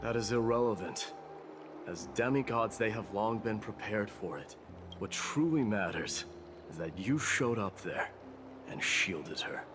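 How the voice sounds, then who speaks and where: An actor playing a proud, solemn young warrior king and war demigod in a game, speaking formally and gravely. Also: lowish voice, lively intonation, unhurried. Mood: calm, resolute. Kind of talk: scripted game cutscene drama. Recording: game dialogue under loud music.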